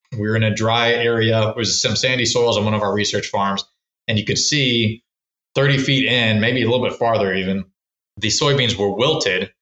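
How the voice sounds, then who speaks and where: A man's voice: lowish voice, pushed and bright, reverberant, dull audio. A man from Texas, a wildlife researcher who is a podcast guest, explaining his work in a casual, rambling way with many restarts and fillers. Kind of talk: casual explanatory interview talk.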